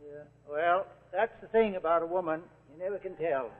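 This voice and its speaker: An actor as a high-voiced, terse, suspicious lawman. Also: moderate pace, heavy background noise, close to an everyday voice. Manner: terse, folksy. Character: suspicious, laconic small-town lawman. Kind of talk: 1940s suspense television drama acting. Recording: muffled vintage TV soundtrack, loud music.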